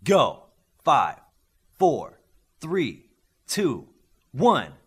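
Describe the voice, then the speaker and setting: A man voicing a curt, bossy young superhero team leader in audiobook dialogue, brisk and challenging. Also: mid-range voice, wildly swooping pitch, fairly bright tone, husky, voice pushed up, exaggerated voice. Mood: loud, commanding.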